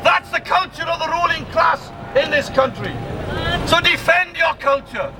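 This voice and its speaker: A man's voice: very high voice, moderate pace. An older man with a Welsh accent giving an impassioned, emphatic political speech at a protest rally. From Swansea, Wales. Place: outdoors in a city square. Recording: distant outdoor mic, noisy street crowd.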